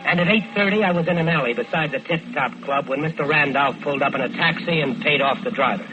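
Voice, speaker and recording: An actor playing a smooth-talking American killer who tells his own crime in vintage radio drama style. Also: on the high side, fast, over music, lightly acted. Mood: tense, confessional. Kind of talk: 1940s-50s radio crime drama acting. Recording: dull lo-fi old radio recording.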